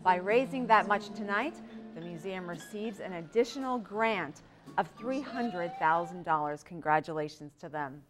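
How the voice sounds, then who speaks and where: A woman's voice: fairly low pitch, animated, normal speed, music in the background. A woman with an American accent reading scripted local news stories as an anchor.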